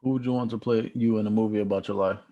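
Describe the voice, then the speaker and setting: Male interviewer, low mellow voice, fast brief questions. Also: steady tone, dull audio.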